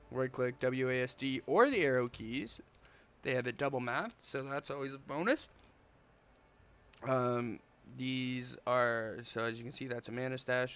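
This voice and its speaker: A man with a slight cold walks through a strategy game in easygoing, meandering commentary full of ums and uhs. His voice sits at an ordinary pitch and sounds smooth, though the recording is dull and lo-fi. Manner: relaxed, rambling, many ums and uhs. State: has a slight cold, sniffling. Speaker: gameplay video creator. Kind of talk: casual gameplay commentary. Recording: dull lo-fi audio.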